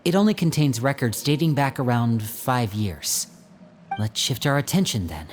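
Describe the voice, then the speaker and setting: A man voicing a young stage magician in a game who tells stories smoothly and turns grave when he speaks of the rules. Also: animated, moderate pace, faint music behind, natural voice. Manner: smooth storyteller, turns serious and low. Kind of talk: game voice acting.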